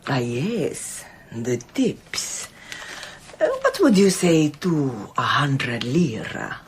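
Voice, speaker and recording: An actress in a radio drama playing an enigmatic, scheming noblewoman who speaks in a deliberate, theatrical way. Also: rumbling low, big pitch swings, slight background noise, exaggerated voice. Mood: sly, coaxing. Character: enigmatic, fatalistic foreign noblewoman, scheming and brooding.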